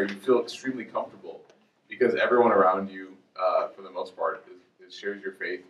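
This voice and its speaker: A man's voice: sits low, reverberant, distant mic, poor audio. A man from Chicago, a guest speaker talking casually and joking with a student audience, easygoing with many fillers.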